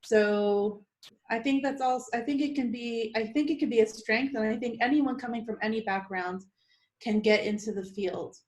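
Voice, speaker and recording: A woman's voice: fairly high pitch, normal speed, darkish tone, low-quality recording. A woman from Texas, a UX writer, speaking casually on a panel with many fillers. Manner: chatty, lots of fillers.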